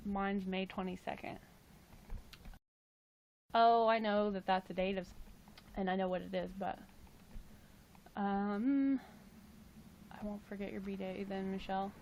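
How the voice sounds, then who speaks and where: A woman's voice: fairly even intonation, measured pace, pauses often, a little mellow, a little hiss or hum, rough recording. Chatty woman in her twenties. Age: 20s. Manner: chatty, jokey, with playful high exclamations. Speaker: livestream host. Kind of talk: casual livestream chat reading viewer comments.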